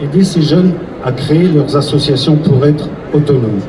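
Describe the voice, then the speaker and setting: A man moderating a public event and speaking sincerely and deliberately to the audience. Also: fairly high pitch, crowd noise. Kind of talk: public address. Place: event hall. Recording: echoey room, distant mic, loud noise.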